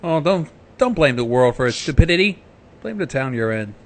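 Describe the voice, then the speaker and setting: A man's voice: mid-range voice, big pitch swings, normal speed, a little hiss or hum. A man co-hosting a livestream, chatting casually and cutting in with joking remarks. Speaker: livestream co-host. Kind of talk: casual chat, joking interjections.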